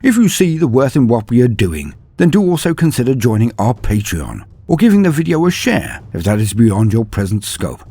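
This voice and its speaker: A man's voice: medium pitch, big pitch swings, normal speed, hardly any pauses, warm tone, breathy edge, dry recording. A man narrating scripted stories as a channel host, in a theatrical, florid and formal manner. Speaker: host of a lore channel and storyteller. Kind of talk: dramatic scripted storytelling narration.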